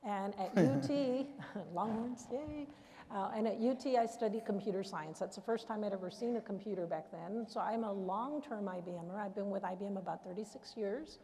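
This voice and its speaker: A woman's voice: mid-range voice, brisk, dark tone, a little hiss or hum. A middle-aged woman from South Texas introducing herself on a live panel in a chatty, self-deprecating way. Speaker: panelist, engineer. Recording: reverberant hall.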